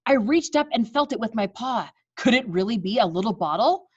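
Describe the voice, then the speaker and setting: A woman with a fairly high voice works as a teacher reading aloud to children. She is expressive and encouraging, and the sound is slightly muffled and lo-fi, like a video class. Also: brisk, slightly rough. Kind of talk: storybook read-aloud with chat to pupils. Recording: dull lo-fi video call.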